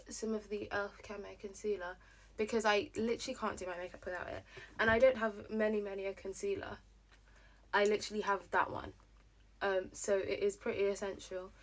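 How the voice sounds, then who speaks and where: A young woman chatting casually through her get-ready-with-me routine, rambling with lots of fillers, her voice slightly hoarse. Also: medium pitch. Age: young adult. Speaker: vlogger.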